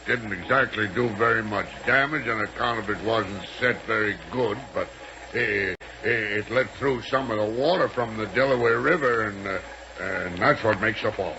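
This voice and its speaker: Old, dull radio serial sound with light noise. A man plays a simple, stubborn American ruffian with a gravelly voice, stumbling over words in rough grammar. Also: lowish voice, natural voice. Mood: slow-witted, unsure. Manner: halting, stammering, uneducated rough grammar. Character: dim-witted, reluctant male former air pirate, simple and stubborn. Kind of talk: 1930s radio serial acting. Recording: muffled, noisy 1930s radio recording.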